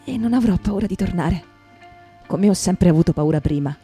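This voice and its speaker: A woman's voice: fairly low pitch, animated, normal speed, warm tone. A woman performer giving a dramatic reading in a piece of radio theatre. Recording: guitar music behind the voice.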